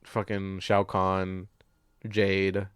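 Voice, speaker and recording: A man's voice: deep voice, monotone, extremely slow, smooth, no echo. Young male podcast co-host, dry sound, slangy, sweary, loose conversational rambling. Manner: rambling, slangy, profane, jokey. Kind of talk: casual movie discussion chat.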